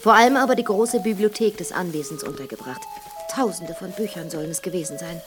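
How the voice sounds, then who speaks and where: An actress playing a composed, matter-of-fact widow of an old manor in a German radio drama, speaking in a measured, guiding way. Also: female voice, on the low side, music in the background, lightly acted. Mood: sober, matter-of-fact. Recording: echoey reverberant vault.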